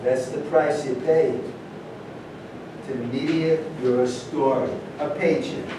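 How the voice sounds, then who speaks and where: An actor playing a blunt, unsympathetic man with deliberate delivery in a staged play reading. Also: steady tone, lightly acted. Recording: echoey room, distant mic, rumbly background noise.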